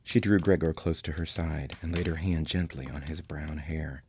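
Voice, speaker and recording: A man narrating a scripted story for an audio drama, with a creaky voice and literary delivery. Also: very deep voice, steady tone, normal speed, a little mellow, a little hiss or hum.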